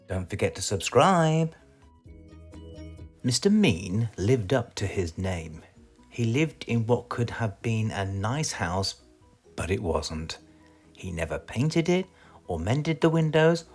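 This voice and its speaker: A man's voice: on the low side, sing-song, takes it slowly, breathy edge, soft background music. A man with a British accent reading a children's story aloud as a storyteller.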